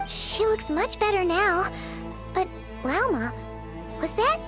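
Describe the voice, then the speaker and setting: A woman voicing a small, childlike fairy companion in a video game, squeaky, curious, excitable and exclamatory. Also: slow pace, music in the background, exaggerated voice. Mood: concerned. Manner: speaks of self in third person, exclamatory.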